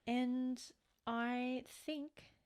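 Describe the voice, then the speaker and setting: A woman's voice: sits high, fairly even intonation, very slow, darkish tone. A woman with an Australian accent chats casually and informally as a podcast co-host.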